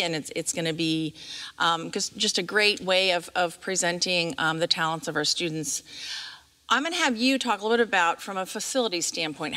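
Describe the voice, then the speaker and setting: A middle-aged American woman conducting a conversational interview, asking wordy questions in a halting way. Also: on the low side, pushed and bright. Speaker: interviewer, school district staff member. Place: large theatre stage, indoors.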